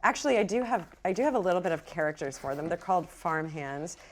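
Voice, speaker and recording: A woman running a tabletop game as game master, improvising the narration in a halting, thinking-aloud way. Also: on the low side, lively intonation.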